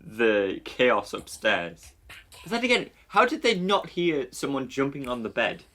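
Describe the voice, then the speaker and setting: A man's voice: mid-range voice, sing-song, measured pace, fairly bright tone. A man giving casual, joking commentary as he watches a film with others.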